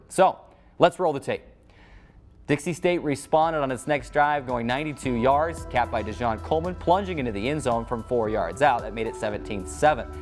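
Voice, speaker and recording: American man hosting a sports highlights show. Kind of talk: scripted sports highlights narration.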